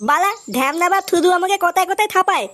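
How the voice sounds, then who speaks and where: A woman's voice: very high voice, fast talker, a bit bright. A woman voicing a whiny, defiant, foul-mouthed little boy with a childish lisp in an amateur comedy cartoon. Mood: sulky grumbling.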